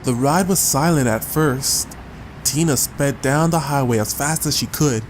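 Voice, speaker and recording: A man's voice: mid-range voice, unhurried, a little hiss or hum, low-quality recording. A man reading a scripted short story as a dramatic audiobook narrator. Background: music.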